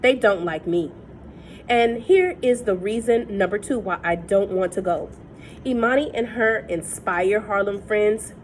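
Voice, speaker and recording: A woman reading a novel aloud in a steady, measured first-person voice. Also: mid-range voice, slight background noise, low-quality recording. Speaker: reader.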